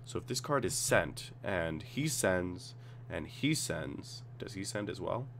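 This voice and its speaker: A man with a cold and a slightly raspy voice, casually reading card text aloud and reacting as he reviews cards at his computer. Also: sits low, animated, slow, a bit bright, a little hiss or hum. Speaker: card game tutorial host.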